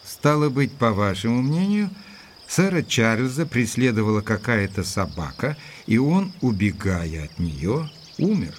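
An actor playing an earnest, guarded gentleman doctor in an audio drama, narrating in a measured, literary and formally courteous way. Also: mid-range voice, sing-song, slight background noise. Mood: guarded, polite.

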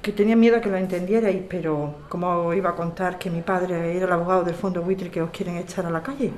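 A woman's voice: lowish voice, steady tone, quick pace, a little mellow, natural voice. A woman acting an earnest, apologetic lawyer in an audio drama. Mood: contrite. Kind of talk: audio fiction podcast acting.

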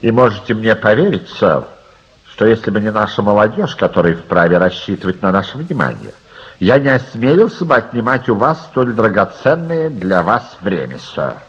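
An actor playing a touchy older gentleman in a radio drama, formal and fussy, stammering when flustered. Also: lowish voice, very animated, normal speed, full character voice. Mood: stiffly polite. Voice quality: husky. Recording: dull, muffled radio drama recording.

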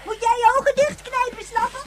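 A performer playing a small, cheeky and easily frightened child in a radio drama, shrill and given to taunts and whimpers. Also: fairly even intonation, a little fast. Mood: taunting. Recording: noisy radio drama recording.

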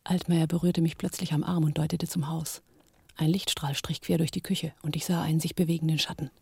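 An actress playing a tense woman in hiding, narrating in a hushed, breathy near-whisper in a radio drama. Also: sits low, little pitch movement, brisk, no echo, exaggerated voice. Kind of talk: radio drama acting and first-person narration.

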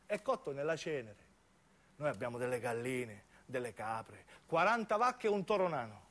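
A man's voice: mid-range voice, sing-song, normal speed, fairly bright tone. A man acts out a young soldier from the countryside in radio theatre. He is simple, solitary and earnest. His southern Italian speech is rustic and plain.

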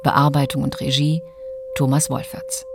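A woman announces a radio play's title and credits. She reads very slowly at a middling pitch, with clear ringing music behind her. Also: flat delivery.

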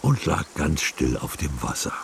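A man with a very low, creaky voice narrates a radio drama. He tells the story with hugely varied intonation, over faint music. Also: big pitch swings, moderate pace, background noise.

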